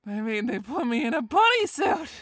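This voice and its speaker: A woman's voice: high voice, sing-song, normal speed, a bit bright, no echo, clean studio sound, full character voice. A woman playing a bitter, put-upon daughter in a rambling comic monologue, exasperated in manner. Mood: bitter exasperation.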